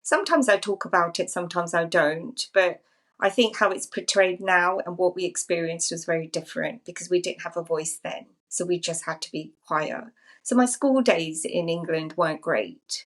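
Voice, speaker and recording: A woman's voice: lowish voice, normal speed. Reflective woman in her fifties, from Zambia. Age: 50s. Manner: thoughtful, musing, repeats phrases. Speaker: podcast guest. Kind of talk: reflective personal storytelling.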